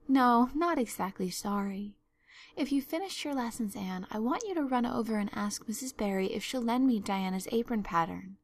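A woman voicing a stern, sensible older woman in a storybook, brisk, sarcastic and scolding. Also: fairly high pitch, lively intonation. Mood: brisk, impatient. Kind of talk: storybook narration.

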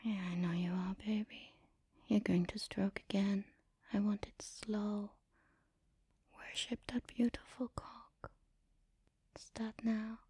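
A woman speaking in an intimate, commanding and teasing erotic ASMR style, in a breathy whisper close to the mic. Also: medium pitch, level tone, slow pace, pauses often, dark tone, dry recording. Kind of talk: erotic ASMR, guided audio roleplay.

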